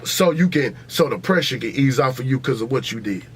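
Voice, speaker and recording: A man's voice: mid-range voice, animated, fairly quick, slight background noise. An American man hosting a podcast in a casual, opinionated debate, blunt and profane, repeating himself for emphasis. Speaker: podcast host.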